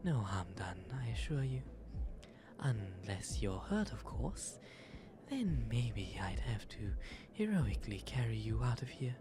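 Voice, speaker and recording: A man playing a genteel, shy, flirtatious owl demon nobleman in an ASMR roleplay, with soft, breathy, formal and wryly self-deprecating speech. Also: medium pitch, very animated, measured pace, a crowd in the background. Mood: teasing. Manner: refined formal diction, polite, wry, self-deprecating. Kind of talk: ASMR roleplay, intimate audio drama. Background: music throughout.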